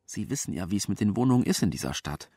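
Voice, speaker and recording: An actor playing a chatty, bemused neighbour giving a candid, colloquial witness account in a radio drama. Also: male voice, fairly low pitch, very animated, fast, dark tone, no echo, clean studio sound. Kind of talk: naturalistic radio drama testimony.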